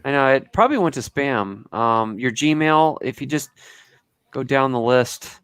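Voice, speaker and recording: A man's voice: medium pitch, expressive, a little slow, a bit bright, smooth, dry recording. A man in his early forties chatting casually in a group, often repeating his own phrases. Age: early 40s.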